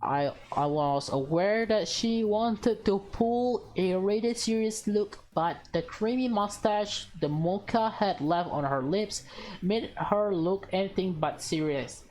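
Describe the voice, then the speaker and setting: A woman reading a visual novel aloud with casual reactions, halting and stumbling over her words. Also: medium pitch, darkish tone, slight background noise, rough recording.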